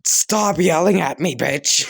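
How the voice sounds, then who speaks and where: A man voicing a rude, hot-tempered twelve-year-old boy who shouts in squeaky outbursts. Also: slow pace, creaky, slightly rough, full character voice. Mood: shouting back.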